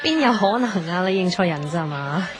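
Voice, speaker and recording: A woman's voice: fairly low pitch, lively intonation, a little fast, lightly acted. An actress with a Hong Kong Cantonese accent playing a cool, blunt and insistent young woman in a radio drama. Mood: reserved. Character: assertive, cool young woman, new landlady back from abroad. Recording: music behind the voice.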